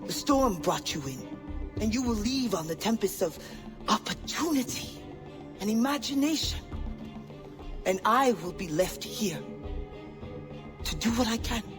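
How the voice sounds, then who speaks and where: An actor playing a proud, wary spy in an island resistance, speaking in a cool, clipped, guarded way. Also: female voice, mid-range voice, expressive, a little slow, pauses often, over music, natural voice. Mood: bitter. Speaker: game character. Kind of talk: video game cutscene acting. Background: game score.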